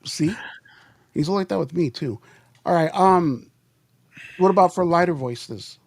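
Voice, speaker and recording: A man's voice: a little high, lively intonation, a little slow, creaky, no echo. A man co-hosting a casual podcast, joking around and asking questions about gear. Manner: joking, teasing, asks questions. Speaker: podcast co-host. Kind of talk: casual chat.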